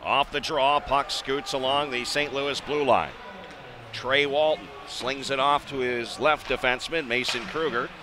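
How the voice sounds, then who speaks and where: An American man doing live radio-style play-by-play hockey commentary, measured in routine play and excitable on big plays. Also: medium pitch. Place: indoor ice arena. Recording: noisy live rink broadcast.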